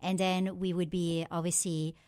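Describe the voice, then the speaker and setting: A woman from Pakistan who grew up in France, a native Urdu speaker, telling her life story as an interview guest in an earnest, thoughtful way with frequent pauses. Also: lowish voice, little pitch movement, darkish tone. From Pakistan, raised in France.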